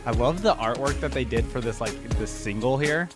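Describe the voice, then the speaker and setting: A man's voice: mid-range voice, expressive, normal speed, over loud music. A man giving casual, off-the-cuff reaction commentary, thinking aloud in a rambling way with lots of "like" and "you know". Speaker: reaction video host.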